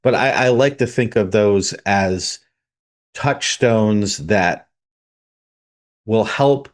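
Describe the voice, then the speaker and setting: A man's voice: fairly low pitch, extremely slow. A man hosting a podcast, methodically explaining his points in order. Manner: methodical, lays out numbered points. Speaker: podcast host.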